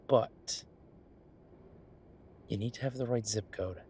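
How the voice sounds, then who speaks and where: A man playing an easygoing chef in an audio roleplay, talking in a casual, musing, explanatory way to his partner, with a slightly husky voice. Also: fairly low pitch, slow, a little mellow, slight background noise. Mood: explaining, persuasive. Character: easygoing male chef planning restaurant business with his partner. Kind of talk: one-sided roleplay acting, casual chat.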